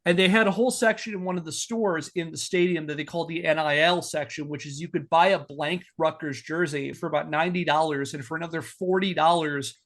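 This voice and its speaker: A man's voice: on the high side, a bit bright. A man hosting a podcast, explaining a story in a conversational but partly scripted way, with asides. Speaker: podcast host.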